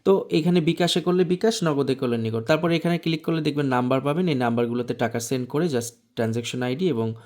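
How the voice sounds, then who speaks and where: A man with a Bangladeshi accent presenting an informal software tutorial, explaining things step by step. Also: medium pitch, fast, hardly any pauses. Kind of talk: informal screen tutorial narration.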